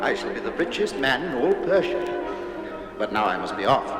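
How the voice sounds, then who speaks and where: A man's voice: medium pitch, big pitch swings, brisk, loud music behind the voice, close to an everyday voice. An actor playing a greedy, easily flustered man in a theatrical children's story record from the 1960s. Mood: gloating, eager. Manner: theatrical, increasingly frantic and pleading. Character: greedy, flustered Persian man trapped in a cave. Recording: long cavernous reverb.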